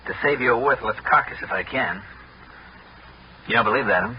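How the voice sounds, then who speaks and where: An actor playing a tough insurance investigator in an old radio drama, clipped and no-nonsense. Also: male voice, medium pitch, moderate pace, close to an everyday voice. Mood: hard, challenging. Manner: clipped, hard-boiled. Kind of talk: 1960s radio drama acting with first-person narration. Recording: muffled, very noisy old radio recording.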